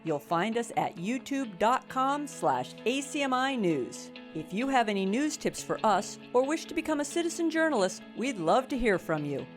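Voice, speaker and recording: A woman with a slightly high, animated voice reads a scripted news program sign-off as its announcer. Faint music plays behind her. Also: normal speed, hardly any pauses. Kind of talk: scripted promotional outro.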